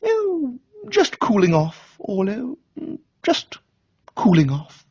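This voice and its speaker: A man plays a well-meaning young upper-class English gentleman for a radio comedy. His voice leaps high and swoops about, breezy and exclamatory, with an easily flustered air. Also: huge pitch swings, takes it slowly, creaky, husky, dry recording, muffled recording, full character voice. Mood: airy nonchalance.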